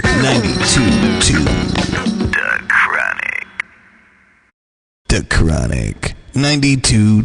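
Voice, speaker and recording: An actor playing a swaggering hip-hop radio station announcer, delivering punchy idents with a gravelly voice. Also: male voice, a bass voice, full character voice.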